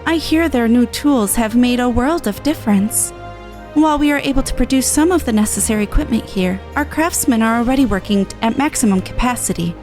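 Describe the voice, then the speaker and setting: A woman's voice: a little high, brisk, darkish tone, soft background music, lightly acted. A woman voicing a gracious, composed female official in a game, with polite formal speech. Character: gracious formal woman speaking for an enclave's leaders.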